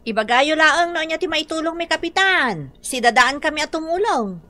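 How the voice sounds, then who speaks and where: Bright, high-pitched Filipino village official. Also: female voice, expressive, moderate pace. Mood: eager. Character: eager but idle village budget officer. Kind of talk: Filipino radio drama acting.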